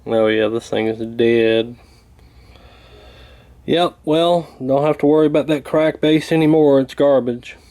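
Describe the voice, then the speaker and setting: Slow-talking man with a mellow voice. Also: mid-range voice. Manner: hesitant, unhurried, folksy plain-spoken. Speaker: radio repair hobbyist demonstrating. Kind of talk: casual hands-on repair walkthrough.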